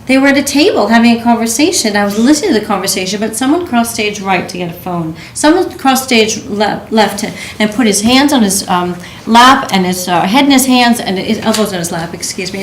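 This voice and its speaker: A woman giving emphatic, animated critique in a casual group discussion, sometimes stumbling over her words. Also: fairly high pitch, brisk, few pauses. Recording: echoey room, faint noise.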